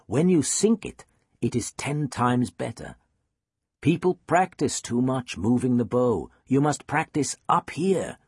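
An exuberant fifty-something Danish cello teacher, acted out by a male audiobook narrator, speaks with a Danish accent. He coaches with emphatic intensity and big swings of pitch, in a husky voice recorded dry. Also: lively intonation, a little slow, darkish tone, no room sound. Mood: quietly insistent. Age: 50s.

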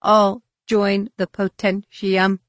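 A woman's voice: medium pitch, level tone, slow, no room sound, voice pushed up, close to an everyday voice. A woman voicing a crowd of alien devotees in an audiobook, in a solemn chant. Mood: reverent chanting.

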